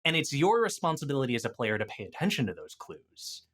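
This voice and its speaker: A man in a casual gaming discussion, talking as an experienced dungeon master in an animated, opinionated way. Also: mid-range voice, quick pace.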